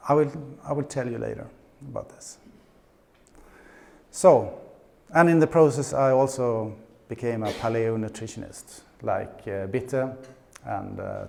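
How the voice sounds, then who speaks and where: Middle-aged Swedish man, conference speaker, unhurried and hesitant, lots of fillers, dry humor. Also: medium pitch, creaky, darkish tone. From Sweden. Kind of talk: conference talk. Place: conference stage.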